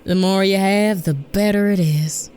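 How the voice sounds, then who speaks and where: An actress playing a tough, sarcastic woman who leads a space mission in an audio drama, with a brisk, sardonic delivery. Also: mid-range voice, darkish tone, clean tone, natural voice. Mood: teasing. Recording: noisy background ambience.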